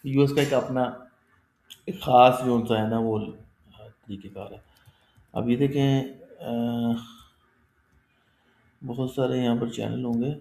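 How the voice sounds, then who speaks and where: A man's voice: medium pitch, steady tone, slow pace, creaky, pauses often, mellow. A man teaching a live online class, explaining step by step in a conversational way and often checking that his students follow. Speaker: instructor. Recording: echoey room.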